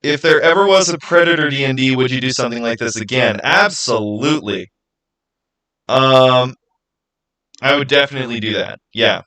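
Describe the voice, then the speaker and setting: A man hosting a livestream, answering viewers in casual, halting speech and thinking out loud. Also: mid-range voice. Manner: halting, thinking aloud with repeated phrases. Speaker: livestream host.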